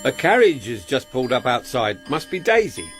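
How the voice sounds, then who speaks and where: A man's voice: medium pitch, sing-song, moderate pace, a bit bright, soft background music. An actor playing a genial middle-aged husband and landlord who teases his wife fondly, in a period radio drama. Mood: impatient. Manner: hearty and fond, teasing his wife.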